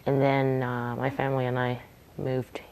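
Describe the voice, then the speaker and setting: A woman with an unusually deep voice recalls her childhood in an oral history interview. She speaks in short, factual statements. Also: completely flat delivery, moderate pace, darkish tone, smooth. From Taiwan.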